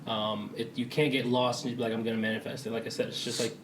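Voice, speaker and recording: A man's voice: mid-range voice, little pitch movement, quick pace, roomy sound, recorded from a distance, background noise. A young man on a casual podcast, explaining his views at length. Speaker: podcast co-host. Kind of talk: casual chat.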